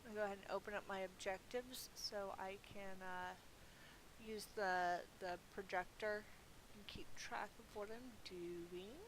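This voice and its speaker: A woman's voice: mid-range voice, steady tone, measured pace. A woman giving casual gameplay commentary, chatty and playful as she thinks aloud. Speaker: gaming video host. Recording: hissy recording with background noise.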